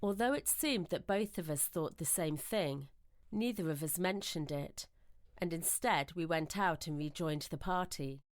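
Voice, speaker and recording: A woman reading scripted ghost-story narration in a measured, literary, suspenseful manner, as in a radio drama. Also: low voice, dry recording, studio quality.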